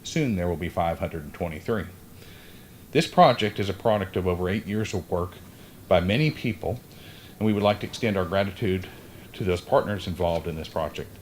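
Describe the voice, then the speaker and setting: A man with a very deep voice reads prepared remarks at an outdoor podium, moving steadily through a list of thanks and stumbling now and then. Faint outdoor sound sits behind him. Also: moderate pace, slight background noise. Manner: reads thank-you list, occasional slips. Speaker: airport official and emcee. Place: outdoor groundbreaking site. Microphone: podium microphone.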